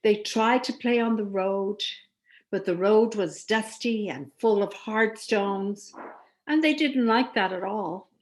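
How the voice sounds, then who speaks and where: A woman reads a children's story aloud at an unhurried pace. She is an expressive storyteller who leans on key words for dramatic emphasis, in a mid-pitched voice.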